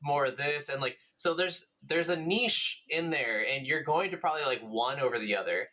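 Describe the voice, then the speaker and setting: A man's voice: on the high side, normal speed, sharp tone. A man co-hosting a gaming podcast, talking in a casual, rambling, enthusiastic way with the odd swear word. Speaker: podcast co-host.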